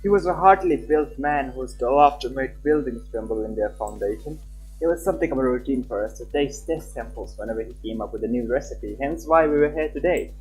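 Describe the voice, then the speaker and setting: A man streaming a visual novel, reading its text aloud in a casual, halting way with his own asides. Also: mid-range voice, slightly rough, poor audio. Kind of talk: live gameplay narration with comments. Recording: faint game music behind.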